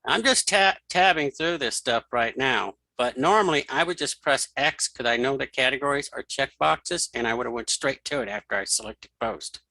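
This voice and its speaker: A man giving a casual, step-by-step software tutorial, informally narrating what he does as he goes. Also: medium pitch, expressive, normal speed, vocal fry, few pauses, dry recording, low-quality recording. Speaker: tutorial host.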